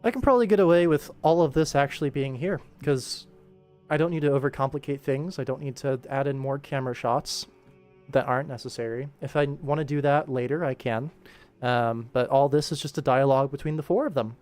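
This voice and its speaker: Mid-pitched man casually explaining his work. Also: fairly quick, a little mellow, soft background music. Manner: explanatory, thinking aloud. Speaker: animation director streaming his work. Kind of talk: casual livestream work commentary.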